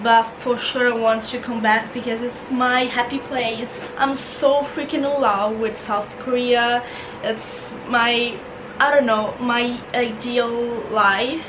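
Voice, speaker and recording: Young Italian woman, chatty and high-voiced. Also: steady tone, slow, background noise. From Italy. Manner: chatty, restarts and repeats words, non-native phrasing. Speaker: vlogger. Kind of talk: casual Q&A chat. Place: bedroom, indoors.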